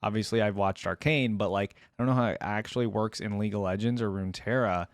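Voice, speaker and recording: A man's voice: lowish voice, fairly even intonation, fast talker, no echo, studio quality. A man hosting a casual reaction video, commenting on game voice lines in a musing way and repeating phrases to himself. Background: music.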